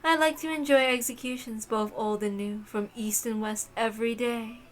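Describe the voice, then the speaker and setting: A woman voicing a cruel, imperious witch mistress who speaks in a languid, haughty, measured way. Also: a little high, fairly even intonation, lightly acted. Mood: cool, imperious.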